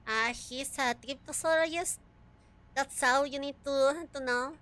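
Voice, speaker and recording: A woman with a high, bright voice and a slight rasp chats casually while she streams a game. She giggles often and talks to her chat at an unhurried pace. Her tone is sharp and light. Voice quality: raspy. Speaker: VTuber streamer. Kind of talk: casual gaming commentary.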